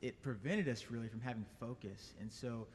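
A young adult man, a guest at a church service, answering interview questions in a calm and reflective way. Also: medium pitch, fairly quick, a little hiss or hum. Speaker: guest, business owner and band leader. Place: church sanctuary. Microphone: stand mic. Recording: long reverb of a church hall.

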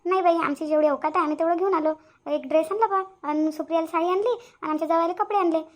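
A woman's voice: very high voice, steady tone, fast. A woman voicing a humble, plain-spoken farming grandmother in a cartoon, with a Vidarbha Marathi accent. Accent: Vidarbha Marathi (Varhadi). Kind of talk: cartoon family drama dialogue.

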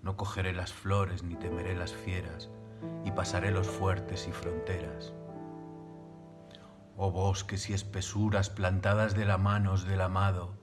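A man with a deep voice recites old devotional verse solemnly. An instrumental track plays clearly beneath his words. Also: flat delivery, unhurried, music in the background. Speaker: poetry reciter.

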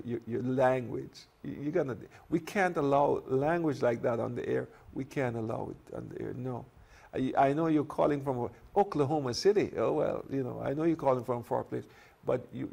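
A middle-aged man hosting a live call-in show, speaking haltingly and repeating his phrases. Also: medium pitch, vocal fry, darkish tone, husky. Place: small TV studio set.

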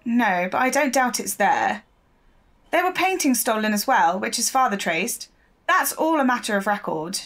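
An actress playing an earnest, stubborn, idealistic newspaper reporter in an audio drama, speaking defensively. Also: female voice, on the high side, big pitch swings, moderate pace, fairly bright tone. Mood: earnest, pleading.

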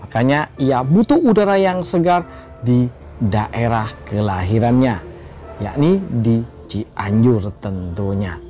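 Male celebrity gossip narrator: lively, sing-song read with swooping emphasis, over soft background music. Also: medium pitch, slow pace, highs cut off. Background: faint background music.

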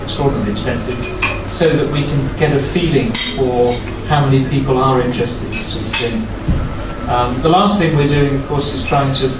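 A man's voice: mid-range voice, normal speed. Older guy at a podium mic, lots of ums, roomy hall, heard from a distance with background noise. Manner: hesitant, many ums and restarts. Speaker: think tank chairman. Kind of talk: welcome speech at a dinner event. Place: hotel function room. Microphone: podium microphone on a stand. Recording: echoey room from far off, room noise.

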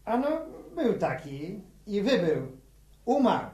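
Glib, lively travelling salesman. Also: high voice, takes it slowly, heavily acted. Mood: jocular. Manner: glib, jokey patter with stock phrases. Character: breezy, pushy travelling portrait-photographer salesman. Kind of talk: 1960 Polish radio drama acting.

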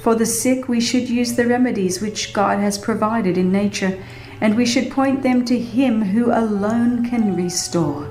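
A woman reading a religious book as a dramatized audiobook, with an earnest, measured and formal delivery. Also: medium pitch, darkish tone. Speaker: audiobook narrator. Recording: roomy reverb, faint background music. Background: faint music.

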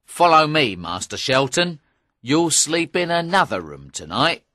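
Storybook villain for a male actor: stern scheming knight, forceful and theatrical, unhurried, very animated intonation. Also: fairly high pitch, no echo, studio quality, heavily acted. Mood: commanding, ominous. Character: angry, scheming knight and his gruff henchmen. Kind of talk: dramatized audiobook dialogue.

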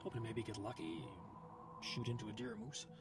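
A man playing a haunted woodsman survivor in a horror audio drama, telling his tale in a halting, dramatic way with swelling emphasis. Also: lowish voice, level tone, over loud music, natural voice. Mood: grim, tense. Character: haunted male woodsman survivor recounting a terror.

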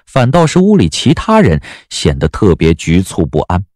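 Low, expressive male storyteller. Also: moderate pace, dry recording, studio quality. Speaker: audiobook narrator. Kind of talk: dramatic scripted narration of a period novel. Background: background music.

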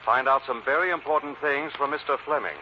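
An actor playing an urbane, commanding gentleman sleuth in the style of 1940s radio drama. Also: mid-range voice, fast talker, natural voice. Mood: urgent, commanding. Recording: muffled, noisy old radio recording.

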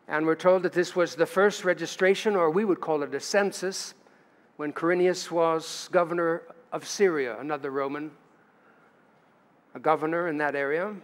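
An older man, a pastor, delivering a sermon at a lectern in a church sanctuary, reading scripture aloud and adding storytelling asides. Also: on the high side. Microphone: lectern mic. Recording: church livestream with long reverb.

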